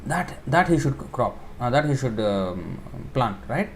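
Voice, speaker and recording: A man giving a sermon in an informal style, explaining with everyday examples, pausing with fillers and correcting himself as he thinks aloud. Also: medium pitch, sing-song, gravelly, a little hiss or hum. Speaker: preacher giving a scripture class.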